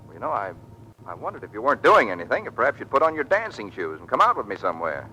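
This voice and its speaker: Young American pilot, radio drama: proud, insistent, quick, forceful delivery. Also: male voice, medium pitch, wildly swooping pitch. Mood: polite, awkward. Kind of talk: old-time American radio drama acting. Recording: noisy vintage radio recording.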